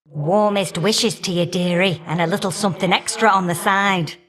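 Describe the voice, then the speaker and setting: A woman's voice: mid-range voice, normal speed, a bit bright, close to an everyday voice. Woman doing a fiery older goddess for a game, cheeky and folksy, teases you fondly. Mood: cheeky, affectionate. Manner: folksy, teasing, affectionate endearments and colloquial turns of phrase. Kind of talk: video game character voice acting.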